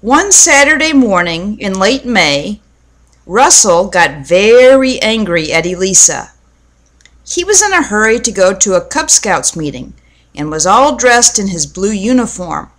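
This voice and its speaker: Slow-paced woman reading a children's story. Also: mid-range voice, very animated, a bit bright. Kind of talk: read-aloud storytelling to children.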